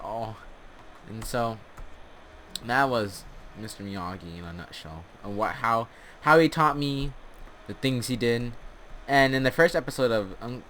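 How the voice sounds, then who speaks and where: Male gaming storyteller, forceful bright tone, tongue-in-cheek and rambling, faint-budget home recording with clear background noise. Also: lowish voice. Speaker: host of a gaming storytelling channel. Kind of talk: casual comic storytelling over gameplay.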